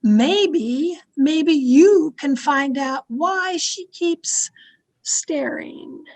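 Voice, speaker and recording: A woman acting a domineering, fearful, haunted wife in an old-time radio thriller, with an imperious, dramatic delivery full of halting dread. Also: sits high, expressive, a little mellow, heavily acted. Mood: desperate, commanding.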